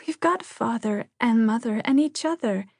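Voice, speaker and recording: A woman voicing a shy young girl, a gentle and mild peacemaking sister, in an audiobook. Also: a little high, expressive, moderate pace, creaky, dry recording, clean studio sound, voice pushed up. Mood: contented.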